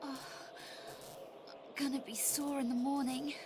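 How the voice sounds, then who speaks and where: An actress playing a cool, tough adventurer in a video game, speaking in a dry, curt, unflappable way. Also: female voice, sits high, flat delivery, takes it slowly, background noise. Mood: pained, wry. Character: cool, tough female adventurer, dryly sarcastic. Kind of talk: video game cutscene dialogue.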